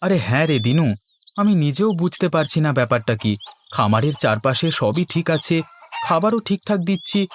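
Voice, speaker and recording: A man's voice: fairly high pitch, sing-song, a little fast, slight background noise. A man playing an earnest village chicken farmer in scripted cartoon dialogue. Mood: worried.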